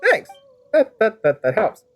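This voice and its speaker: A woman's voice: mid-range voice, sing-song, measured pace, muffled recording. A woman game streamer improvising wry, teasing commentary and joking replies to the characters. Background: game music.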